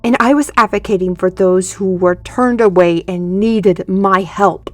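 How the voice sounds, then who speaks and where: A woman's voice: mid-range voice, measured pace, dry recording, natural voice. A woman playing a defiant, sardonic young artist with magical powers in a fantasy audio drama, speaking formally and pointedly.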